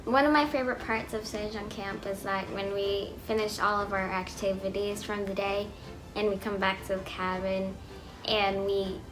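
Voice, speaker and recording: A teenage American girl talks casually as a camper. Her mid-pitched voice stays quite flat, with clear music playing behind it. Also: low-quality recording. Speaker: camper giving a testimonial. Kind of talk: casual testimonial talk.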